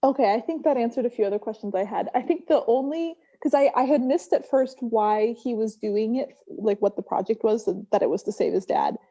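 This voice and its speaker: A woman's voice: fairly high pitch, a little fast, few pauses, warm tone. A woman giving casual feedback in a tentative way, peppering it with "like". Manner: tentative, heavy use of "like". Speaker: workshop participant giving feedback. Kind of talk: casual chat. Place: online video meeting. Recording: slightly dull video call audio.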